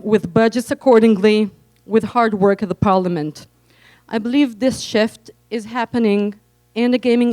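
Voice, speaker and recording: A woman's voice: medium pitch, warm tone, smooth, no echo. A woman from Israel with an Israeli accent, reading a prepared speech carefully as an entrepreneur. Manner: careful, read-aloud delivery.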